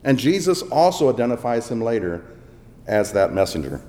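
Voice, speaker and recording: A man's voice: very animated, normal speed. Man preaching in an echoey hall. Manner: dramatic, swings between booming and hushed. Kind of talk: sermon. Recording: long echo of a large hall.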